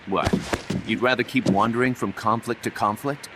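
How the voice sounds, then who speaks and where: An actor playing an earnest, energetic young male mercenary business partner in a video game. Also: medium pitch, normal speed, very noisy, lightly acted. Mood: persuasive.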